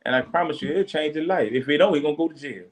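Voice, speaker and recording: A man's voice: fairly high pitch, very fast, poor audio. A man on a casual podcast, earnestly pressing advice on parents and repeating "you know what I'm saying.". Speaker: podcast co-host.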